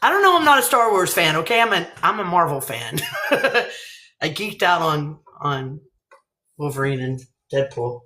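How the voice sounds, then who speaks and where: Texan woman host, lowish lively voice, loose and profane. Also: a little slow, slight background noise. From Texas. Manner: chatty, swearing, teasing, laughing. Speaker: livestream host. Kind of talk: casual live chat.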